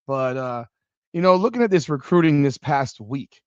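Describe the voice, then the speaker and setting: A man in his forties hosting a casual sports podcast, animated and swearing freely as he rambles like a fan. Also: medium pitch, normal speed, smooth, dry recording. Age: 40s. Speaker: podcast host. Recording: dull dry lo-fi sound.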